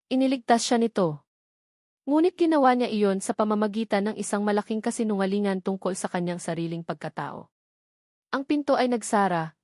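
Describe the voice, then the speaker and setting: A woman's voice: medium pitch, a little mellow, no room sound. Filipino woman storyteller, close dry sound. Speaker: story narrator. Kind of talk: scripted dramatic storytelling narration.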